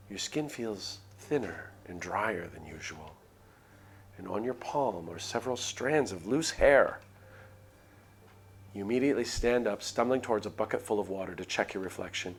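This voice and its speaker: A man's voice: lowish voice, a little hiss or hum. A man reading a visual novel aloud on a stream, narrating the story expressively. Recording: stream with faint background ambience.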